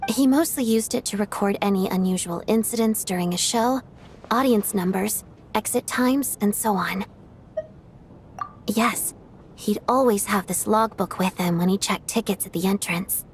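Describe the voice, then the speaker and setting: A woman's voice: mid-range voice, normal speed, slight background noise, close to an everyday voice. An actress playing a methodical, observant young woman investigator in a video game, speaking in a precise, matter-of-fact way. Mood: focused. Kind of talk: anime-style video game cutscene acting.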